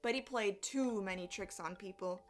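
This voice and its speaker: A woman reading a children's story aloud in an expressive, deliberate storyteller's way. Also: mid-range voice. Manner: animated, deliberate storytelling with acted sound effects. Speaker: storyteller, elementary music teacher.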